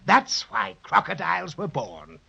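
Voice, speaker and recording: An actor playing a vain, melodramatic crocodile hermit with pompous, flowery speech in a 1930s children's radio serial. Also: male voice, high voice, wildly swooping pitch, measured pace, vocal fry, bright, forceful tone, breathy edge, full character voice. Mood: self-pitying, vain. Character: vain, theatrical crooning crocodile hermit, pompous and self-adoring. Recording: dull 1930s radio recording.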